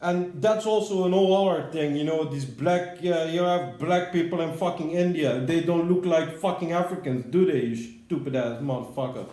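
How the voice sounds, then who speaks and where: A man's voice: on the high side, moderate pace, few pauses, reverberant. A man from the Netherlands, ranting angrily as a commentator and swearing a lot. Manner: heated, swearing, shouting bursts. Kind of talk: angry profane rant.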